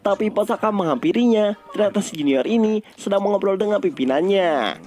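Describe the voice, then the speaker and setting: A man's voice: high voice, expressive, fairly quick, a little mellow. A man narrating a story recap in a casual, colloquial, conversational way.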